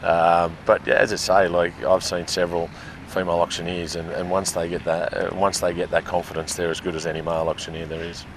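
A man's voice: low voice, completely flat delivery, background noise. A man, course coordinator of an auctioneering school, speaking plainly with fillers in an interview. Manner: plain-spoken, halting with fillers.

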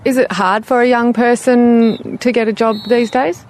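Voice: medium pitch, fairly even intonation, moderate pace, slight background noise. An interviewer putting prepared questions to guests in full sentences.

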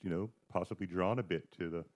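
A man's voice: sits low, quick pace. A man giving dry, wry commentary in casual panel chat.

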